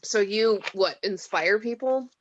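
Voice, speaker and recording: A woman's voice: medium pitch, measured pace, slight background noise, close to an everyday voice. A woman playing a skeptical, exasperated mortal in a script table read, with a sarcastic manner. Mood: sarcastic, challenging. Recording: dull lo-fi home mic.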